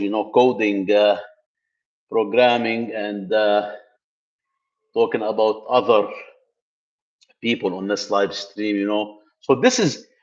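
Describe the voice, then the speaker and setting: Middle-aged man from Lebanon, loose rambling live host, mid-pitched voice, slightly dull lo-fi sound. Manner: rambling, many fillers and restarts. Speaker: tech channel host. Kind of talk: casual live stream talk. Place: building gym, indoors.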